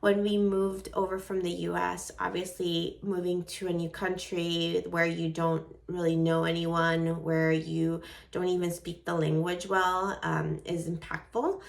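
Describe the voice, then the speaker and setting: A woman's voice: on the low side, level tone, a little slow, vocal fry, far from the mic. Earnest woman with a light Venezuelan accent. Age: 40s. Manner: earnest, heartfelt, with soft laughs. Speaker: vlogger. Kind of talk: personal vlog talk to camera. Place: at her desk at home.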